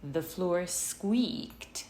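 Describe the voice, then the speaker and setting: A woman reading a children's story aloud with a deliberate, expressive cadence. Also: lowish voice. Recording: roomy, noticeable background noise.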